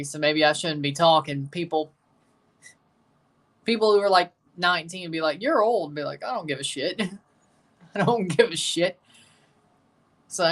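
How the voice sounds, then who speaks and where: A man's voice: high-pitched, pushed and bright. A man in his twenties hosting a live match watchalong, talking casually and joking with his viewers between calls of the play. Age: 20s. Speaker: livestream host.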